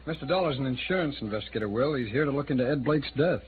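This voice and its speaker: A man acting a smooth-talking but defensive American city attorney in his thirties, in an old-time radio drama. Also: mid-range voice, expressive, rapid-fire, close to an everyday voice. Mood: explanatory. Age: 30s. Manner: hesitant, defensive, conversational. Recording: phone-like, noisy old radio recording.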